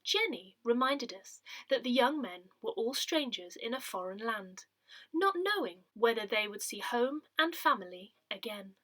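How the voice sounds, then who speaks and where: A woman with a fairly high, bright voice reads a newsletter aloud. Her delivery is scripted and measured, with clear phrasing and lively rises and falls in pitch. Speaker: reader of an audio newsletter.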